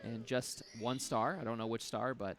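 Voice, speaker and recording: A man doing live commentary on a speedrun, relaxed and chatty, explaining tricks and laughing often. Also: mid-range voice, normal speed. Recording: music playing behind.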